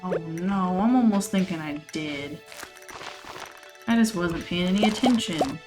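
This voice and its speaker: A chatty woman, somewhat hoarse from losing her voice, rambling through casual gameplay commentary with frequent laughs. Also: lowish voice, unhurried. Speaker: let's play host. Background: game music.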